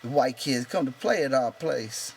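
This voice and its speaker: A man's voice: mid-range voice, animated, moderate pace, vocal fry, a little hiss or hum, pitched above the performer's own voice. A man voicing a proud, bitter, lonely stable hand in an audiobook, talking in an insistent, rambling colloquial way. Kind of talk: character voice in an audiobook reading.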